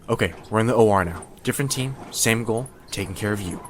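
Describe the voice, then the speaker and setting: Low-voiced reassuring male surgical medic, acted. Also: fast talker, slight background noise, close to an everyday voice. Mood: calm, soothing. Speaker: voice actor in an audio roleplay. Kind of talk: ASMR comfort roleplay.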